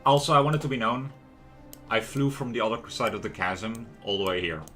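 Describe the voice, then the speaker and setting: A man's voice: mid-range voice, brisk, fairly bright tone. A man giving casual, rambling gameplay commentary as a livestream host, chatting to viewers as he goes. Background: lo-fi music and game sound.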